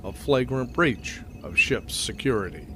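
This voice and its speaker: A man's voice: mid-range voice, lively intonation, a little slow, pushed and bright, a little hiss or hum. A man narrating a scripted science fiction audio drama in storytelling style.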